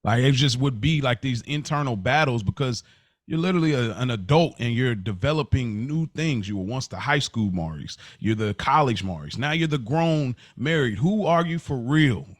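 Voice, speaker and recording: Man, mid-pitched, casual confessional podcast talk, dry close sound. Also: animated, normal speed, dry recording. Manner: reflective, confessional, slangy. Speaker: podcast co-host. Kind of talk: candid casual conversation.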